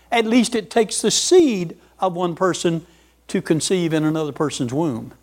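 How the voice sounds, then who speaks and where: A man's voice: high-pitched, gravelly. An older man preaching a folksy sermon, repeating words and chuckling as he goes.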